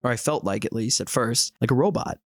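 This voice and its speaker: A man's voice: medium pitch, brisk, dry recording. Dry-sounding man hosting a casual podcast. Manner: relaxed, thoughtful, explains with examples. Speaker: podcast host.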